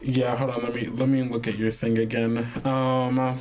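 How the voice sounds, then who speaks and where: A man's voice: mid-range voice, flat delivery, normal speed, a little mellow, slight background noise. A man running a tabletop role-play game as game master, narrating and talking casually.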